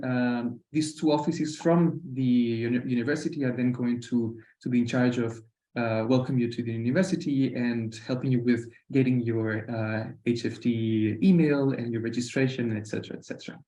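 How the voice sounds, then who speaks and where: Mellow-voiced man with Costa Rican Spanish accent. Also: mid-range voice, steady tone, normal speed. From Costa Rica. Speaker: university program representative presenting. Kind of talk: informal webinar presentation. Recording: roomy online webinar audio.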